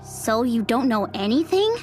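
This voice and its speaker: High, animated, outspoken young soldier. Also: female voice, unhurried, soft background music. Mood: worried, puzzled. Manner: expressive, outspoken. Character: blunt, worrying young female soldier. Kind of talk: dubbed game cutscene voice acting.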